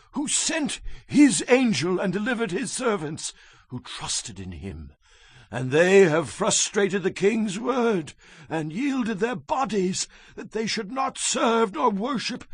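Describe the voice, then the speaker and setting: Give this part to a grandiose king of ancient Babylon in a scripture drama. He is imperious and quick to wrath. His voice is fairly high, rough-edged and forceful, with big theatrical sweeps in a dry recording. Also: no room sound, exaggerated voice. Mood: awed.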